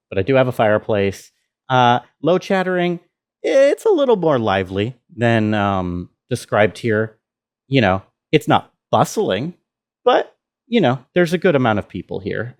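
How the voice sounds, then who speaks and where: Male sound designer, lower-pitched voice, presenting behind the scenes in an informal, thinking-aloud way. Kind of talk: casual walkthrough of own work.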